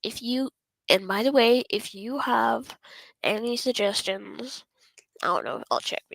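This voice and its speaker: A woman streaming a game, her voice hoarse and going raspy, rambling and often apologizing. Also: a little high, unhurried, fairly bright tone, dry recording, low-quality recording. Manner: rambling, apologetic, self-interrupting. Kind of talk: casual gameplay stream chat.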